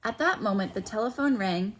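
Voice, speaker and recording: A woman's voice: mid-range voice, lively intonation, a little fast, a bit bright, slight background noise. A woman reading a children's story aloud expressively, like a school principal, with explanatory asides.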